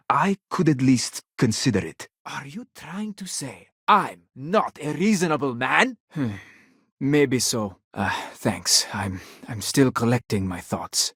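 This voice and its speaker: A haughty, husky, wealthy father. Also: mid-range voice, no room sound, studio quality. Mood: guarded, weighing it, then conciliatory. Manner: haughty, formal, exasperated. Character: wealthy, indignant, stubborn father hunting his runaway daughter. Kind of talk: video game voice acting.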